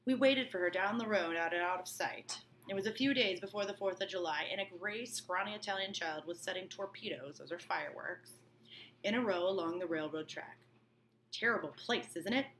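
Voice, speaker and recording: A woman's voice: fairly low pitch, brisk, fairly bright tone, rough recording. A woman reading a novel aloud as a teacher, expressively, breaking off for informal explanatory asides. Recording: clear background room noise.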